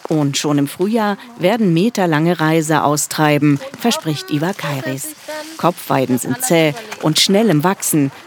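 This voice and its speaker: Male news narrator, measured voice-over, crowd noise underneath. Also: a little high, animated. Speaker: news reporter.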